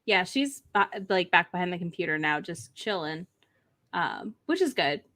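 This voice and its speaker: Female livestream co-host, casual storyteller, bright forceful tone with swooping, expressive delivery. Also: fairly low pitch, normal speed. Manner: animated storytelling, acts out pets' thoughts. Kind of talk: casual chat.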